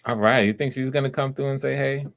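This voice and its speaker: A man's voice: mid-range voice, fairly even intonation, fast talker, vocal fry. A man casually hosting live online auditions, chatting in an encouraging, affirming way. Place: remote live video stream.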